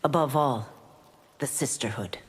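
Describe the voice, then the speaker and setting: A woman's voice: a bass voice, measured pace, breathy edge, full character voice. An actress playing a stern, dutiful warrior woman in a video game, formal and authoritative. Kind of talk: video game character dialogue.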